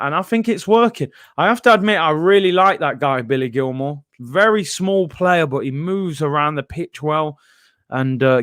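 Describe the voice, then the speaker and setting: A man's voice: a little high, clear, resonant tone, no echo, clean studio sound. A young man, casually hosting a live football stream from home and reading out viewer comments. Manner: chatty, reads viewer comments aloud. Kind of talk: casual live watchalong chat.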